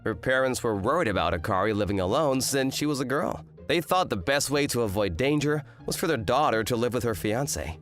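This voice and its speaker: A man's voice: mid-range voice, expressive, fairly quick, few pauses, faint music behind, lightly acted. A man playing an exasperated eighteen-year-old student in a manga rom-com dub, complaining and narrating with indignant drama. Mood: wry narrating. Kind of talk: manga dub acting and first-person narration.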